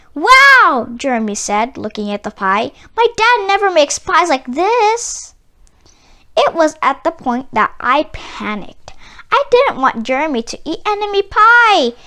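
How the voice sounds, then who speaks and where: High-pitched, very lively woman reading a storybook. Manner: animated, dramatic pauses and emphasis. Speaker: children's book read-aloud narrator. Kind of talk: expressive scripted storybook narration for kids.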